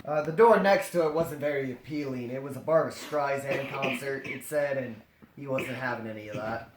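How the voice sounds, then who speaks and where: A man's voice: medium pitch, moderate pace, a crowd in the background. A man reading a story aloud as comedy, breaking off into jokey, dramatized ad-libbed asides. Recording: roomy echo, faint noise and clicks.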